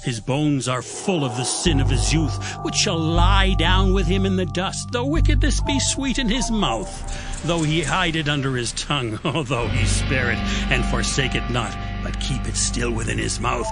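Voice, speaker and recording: A man's voice: a little high, lively intonation, normal speed, music in the background. An actor playing a stern, indignant man who condemns the wicked in a declamatory, admonishing scripture drama. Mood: indignant warning.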